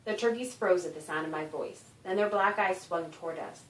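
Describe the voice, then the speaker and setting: A woman author reading a children's story aloud in an expressive, animated narration with dramatic emphasis. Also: on the low side, a little fast. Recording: roomy echo, distant microphone.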